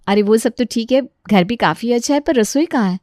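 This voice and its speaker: A woman's voice: fairly high pitch, lively intonation, a little fast, darkish tone, no echo, natural voice. A woman acts out an older Indian family matriarch from a cartoon story, fussy and commanding. She chats and gives orders as head of the house, in a very dry, close recording. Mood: puzzled, demanding. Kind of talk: animated Hindi family story dialogue.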